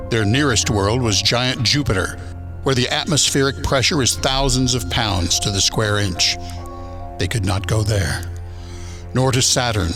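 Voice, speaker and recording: A man's voice: mid-range voice, natural voice. A man playing a grave, formal narrator in a science fiction radio drama. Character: grave omniscient male narrator of an alien invasion tale.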